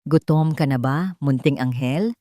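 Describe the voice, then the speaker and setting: A woman voicing a timid, worried young housemaid in an audio story, polite and gentle. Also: rumbling low, a little slow, no echo, studio quality, pitched below the performer's own voice. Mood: tender.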